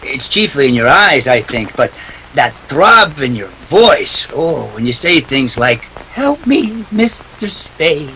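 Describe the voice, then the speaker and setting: An actor playing a hard-boiled, wry private detective in a 1940s-style radio play, with clipped, slangy, sardonic delivery. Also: male voice, medium pitch, big pitch swings, slow pace, bright, forceful tone, a little hiss or hum, heavily acted, poor audio. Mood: sardonic, probing. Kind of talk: 1940s radio noir acting.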